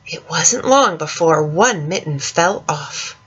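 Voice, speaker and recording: A woman reads a children's storybook aloud in an expressive, dramatic way, speaking directly to young listeners, with a husky voice. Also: low-pitched, slow pace, muffled recording. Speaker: storyteller reading a picture book to children.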